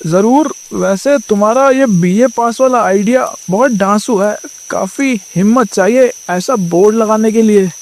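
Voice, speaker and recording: A woman's voice: mid-range voice, measured pace, darkish tone, slight background noise, lightly acted, rough recording. A woman putting on a kindly businessman. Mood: friendly. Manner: polite, encouraging. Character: kindly middle-aged male food chain owner. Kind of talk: storybook voice acting.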